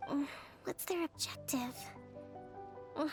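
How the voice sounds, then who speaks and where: High-voiced, composed young leader. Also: female voice, vocal fry, music in the background, heavily acted. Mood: thoughtful. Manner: measured, thoughtful, formal. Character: gentle, composed young female leader and shrewd strategist. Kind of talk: English video game voice acting.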